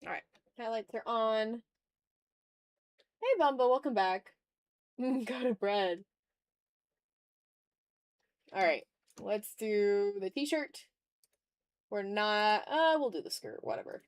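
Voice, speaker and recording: A woman's voice: medium pitch, lively intonation, takes it slowly, long pauses, no echo. A woman chatting casually on an art livestream while drawing, talking to her viewers in an informal, joking, mildly sweary way. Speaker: livestream host, illustrator.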